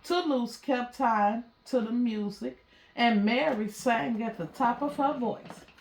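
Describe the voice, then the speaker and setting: A woman's voice: a little high, takes it slowly, a little hiss or hum. A woman reading a children's story aloud as a narrator. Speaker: storybook reader. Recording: roomy, echoey sound.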